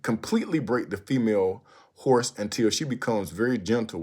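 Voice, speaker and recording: A man reciting a written speech aloud in a deliberate, oratorical manner, with emphatic phrasing and pauses. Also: on the low side. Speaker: narrator reading a historical speech aloud.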